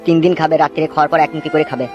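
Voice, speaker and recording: A man voicing a calm, moralizing village doctor in a cartoon, advising matter-of-factly. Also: on the high side, very fast, muffled recording, over music, lightly acted. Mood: admonishing. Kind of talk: cartoon comedy-drama voice acting.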